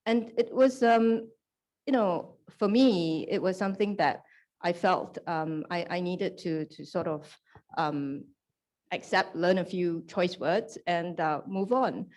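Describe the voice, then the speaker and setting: Woman executive speaking haltingly on a panel. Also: on the low side, darkish tone. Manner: halting, many "um"s, self-deprecating. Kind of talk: panel discussion, career anecdotes.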